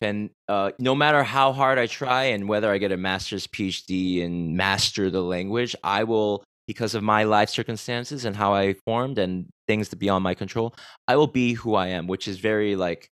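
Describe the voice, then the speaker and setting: A man's voice: fairly low pitch, moderate pace, a bit bright, clear, resonant tone, no room sound. An American man hosting a podcast, talking reflectively and rambling a bit with restarts. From United States. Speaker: podcast host. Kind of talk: conversational podcast interview.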